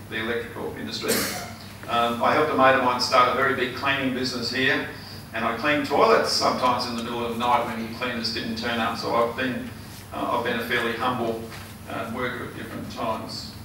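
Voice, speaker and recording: Older Australian man, hoarse, halting campaign speaker, far from the mic, noisy hall. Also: medium pitch, bright, forceful tone. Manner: earnest, halting, with many ums and uhs. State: hoarse, losing his voice. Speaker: election candidate. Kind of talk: campaign speech.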